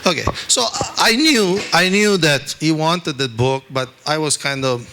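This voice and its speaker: A man with an Italian accent, speaking English as a second language, teaches a scripture class with lively, self-deprecating asides. His voice is bright and forceful. A faint hum of room noise sits behind him. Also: a little high, a little slow. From Italy. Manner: animated, self-deprecating, frequent "okay?" asides. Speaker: spiritual teacher.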